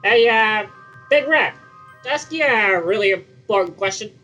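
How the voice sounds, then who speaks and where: A woman's voice: medium pitch, expressive, measured pace, a bit bright, soft background music, poor audio. A woman voicing a small, cheeky male dragonfly sidekick who wisecracks crudely and sarcastically. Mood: sarcastic. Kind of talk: fan dramatic reading.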